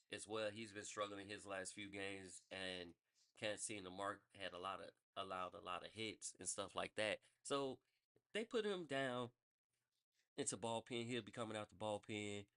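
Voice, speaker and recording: Sports podcast host, man, lowish pitch, bright edge, loose rambling read. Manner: loose, rambling, corrects himself mid-thought. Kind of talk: casual sports news rundown.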